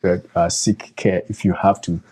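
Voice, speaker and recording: A man's voice: deep voice, level tone, moderate pace, a little mellow, a little hiss or hum. A man, a doctor, giving casual practical advice in a conversational way, with frequent fillers and restarts. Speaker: doctor and co-host.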